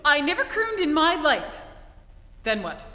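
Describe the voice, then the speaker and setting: A woman acting a headstrong, sharp-tongued society bride in a 1940s-style radio comedy, theatrical and sardonic. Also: sits high, wildly swooping pitch, unhurried, a little hiss or hum, heavily acted. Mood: exasperated, bewildered. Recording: echoey hall, live stage reenactment.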